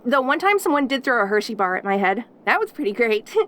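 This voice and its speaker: A woman's voice: fairly high pitch, big pitch swings, fast talker, fairly bright tone. A woman acting a lonely, clingy caged demon girl in an audio roleplay, perky and cutesy, gushing and stammering at the listener. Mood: cheerful, wistful.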